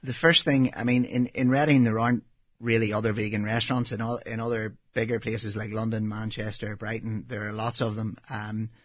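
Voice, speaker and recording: A Northern Irish man in his thirties, a restaurant owner answering interview questions in a relaxed, matter-of-fact way with frequent ums. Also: lowish voice, a little fast, dry recording. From Northern Ireland.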